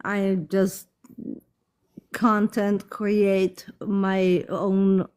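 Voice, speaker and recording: A woman's voice: mid-range voice, flat delivery, very slow, darkish tone, smooth. A woman from Germany, a guest on a live stream, chatting in an easygoing, friendly way. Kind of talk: casual chat.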